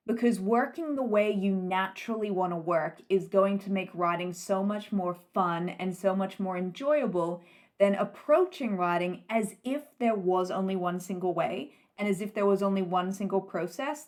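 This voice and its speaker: Woman from australia, writing coach, chatty and encouraging, asks lots of questions out loud, middle pitch. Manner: warm encouraging, rhetorical questions. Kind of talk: Q&A advice talk to camera.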